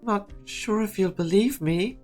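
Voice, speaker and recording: A woman's voice: medium pitch, a little slow, darkish tone, close to an everyday voice. A woman voicing a timid, earnest, stammering young man in a game drama fan dub. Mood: nervous, pleading. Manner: stammering, hesitant. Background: background music.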